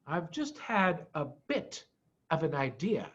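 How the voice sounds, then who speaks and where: A man's voice: a little high, huge pitch swings, takes it slowly, a bit bright, heavily acted. A man voicing a careful, weary father fox with hesitant, subdued delivery in a storybook reading, on lo-fi audio. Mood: careful, downcast. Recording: dull, lo-fi video-call audio.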